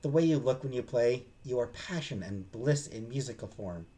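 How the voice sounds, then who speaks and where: A man voicing an earnest young woman who dreams of writing, speaking gently and sincerely in a visual novel. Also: medium pitch, animated, normal speed, natural voice. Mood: tender, earnest.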